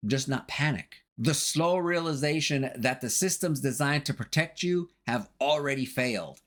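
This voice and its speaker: Man narrating, lively swooping pitch. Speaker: video essay narrator. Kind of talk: scripted narration analyzing horror.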